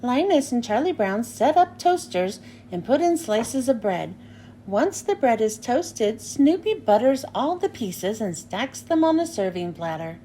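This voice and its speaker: High, animated woman reading to children. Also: a little slow, a little hiss or hum. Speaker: storybook reader. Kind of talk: children's read-aloud narration.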